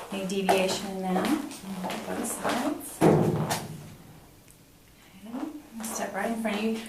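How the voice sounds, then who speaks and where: Middle-aged female clinician, instructional and halting, talking a patient through an exam. Very reverberant small room, noisy. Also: medium pitch. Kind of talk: explaining a physical exam step by step. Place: small hard-walled exam room indoors. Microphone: camera mic a few feet away. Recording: echoey room, distant mic, clear background noise.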